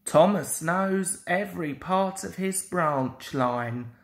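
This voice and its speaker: A woman reading a children's story aloud in an expressive, deliberate way. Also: on the low side. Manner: slow, deliberate, animated delivery. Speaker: storybook reader.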